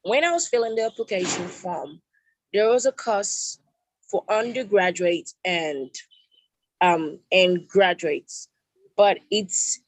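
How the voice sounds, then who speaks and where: A woman's voice: mid-range voice, no room sound, rough recording. A woman with a Nigerian accent, a prospective student politely asking formal questions in an online meeting, often rephrasing them. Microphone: video call.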